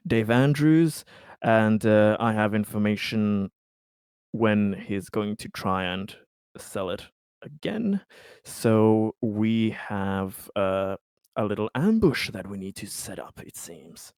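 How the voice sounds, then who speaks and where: A man playing a tabletop roleplaying game, narrating his character off the cuff in a halting, stumbling way. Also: fairly low pitch, expressive, a little mellow, clean tone, no room sound, clean studio sound.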